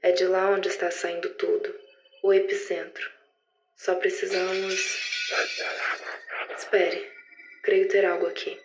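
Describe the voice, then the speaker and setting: A woman's voice: fairly low pitch, flat delivery, slow, long pauses, faint music behind. A Brazilian woman playing a frightened agent in an audio drama, reporting a catastrophe. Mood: grave, tense. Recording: echoey, noisy room with ambience.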